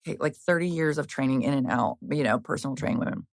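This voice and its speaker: A woman's voice: extremely low pitch, quick pace, no room sound. A woman in her fifties, a personal trainer, talking casually and frankly with many restarts and the odd swear. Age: 50s. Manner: chatty, rambling, frequent restarts and fillers. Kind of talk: casual podcast conversation.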